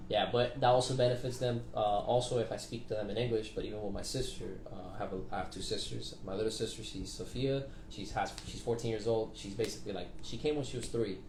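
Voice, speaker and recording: A man's voice: lowish voice, quick pace, hardly any pauses. A man in his twenties from Venezuela, a native Spanish speaker with fluent American-sounding English, chatting casually as a guest artist. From Venezuela, moved to Florida around fifteen. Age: 20s. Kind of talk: casual chat. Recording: echoey room with steady noise.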